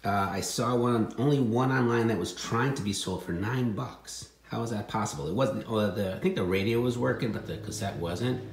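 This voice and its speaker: A man's voice: lowish voice, normal speed, few pauses, roomy sound, slight background noise. A man showing secondhand finds in casual, offhand chat with a wry, joking manner.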